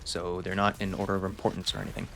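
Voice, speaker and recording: Low gravelly man giving a rambling update. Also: completely flat delivery, fast, fairly bright tone, faint music behind. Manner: rambling, self-deprecating, reassuring. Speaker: channel host. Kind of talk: casual channel update monologue.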